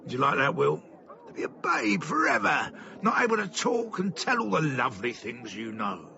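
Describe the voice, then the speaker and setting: A man's voice: on the high side, sing-song, normal speed, vocal fry, sharp tone, muffled recording, heavily acted. A man playing a menacing, silky carnival master in a radio drama, theatrical and taunting, savouring each word. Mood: taunting, threatening.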